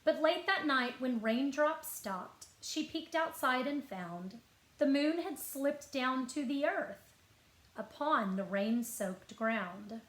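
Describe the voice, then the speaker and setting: Slow-reading woman storyteller in an echoey room. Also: high voice. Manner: expressive storytelling, clear deliberate phrasing, asks listeners questions. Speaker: school librarian. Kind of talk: picture-book read-aloud to children. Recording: roomy echo.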